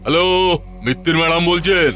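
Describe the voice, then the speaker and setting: A man plays a boastful gang boss in a comic cartoon. His voice sits a little high, full of greedy swagger and menace, with music behind it. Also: quick pace, bright, forceful tone. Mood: menacing.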